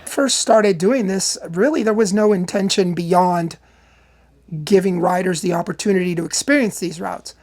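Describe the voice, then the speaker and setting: A man who organizes the event, speaking in a thoughtful, measured way in a documentary interview. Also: high voice, a little mellow.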